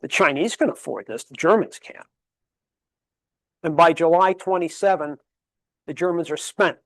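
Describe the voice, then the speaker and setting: A man's voice: a little high, normal speed, fairly bright tone, dry recording. A man giving a history lecture at a public library, emphatic and fond of rhetorical questions, rattling off dates and figures. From Connecticut, USA. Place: library meeting room.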